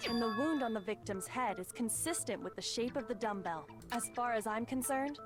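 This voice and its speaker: A woman playing an earnest, excitable young woman in a video game, speaking emphatically. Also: fairly high pitch, a little fast, over music, close to an everyday voice. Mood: firm. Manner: emphatic, protesting.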